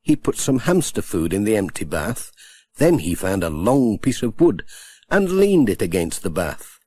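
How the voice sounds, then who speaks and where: A man reading a children's storybook aloud with gentle, expressive storytelling. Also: lowish voice, unhurried, slightly rough, dry recording. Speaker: audiobook narrator.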